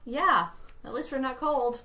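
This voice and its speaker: A woman's voice: high-pitched, measured pace, pushed and bright. A woman playing a tabletop game, chatting casually in a dry, teasing way. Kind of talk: casual chat. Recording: distant mic, faint background noise.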